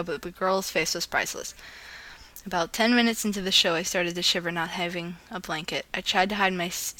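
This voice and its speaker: A woman's voice: on the low side, sharp tone, rough recording. A woman with an informal, giggly manner, chatting like a vlogger and reading a story aloud, now and then stumbling over words. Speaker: host of a fan fiction reading video. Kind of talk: casual vlog chat and amateur story read-aloud.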